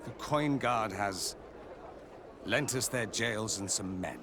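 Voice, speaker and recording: A man's voice: lowish voice, slow, noisy background. A man voicing a pious, evasive inquisitor in a video game, haughty and indignant in a formal manner. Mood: grudging.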